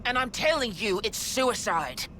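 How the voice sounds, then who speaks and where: A protective young female soldier from a video game, stern and blunt. Her voice is forceful and animated, commanding throughout, over faint background ambience. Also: fairly high pitch, a little slow, a little hiss or hum, heavily acted, rough recording. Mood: urgent, insistent.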